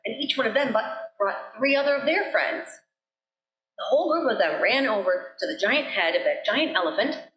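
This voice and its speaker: Animated woman storyteller in an echoey sanctuary. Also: fairly high pitch. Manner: deliberate, repeats phrases for effect. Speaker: minister. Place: church sanctuary.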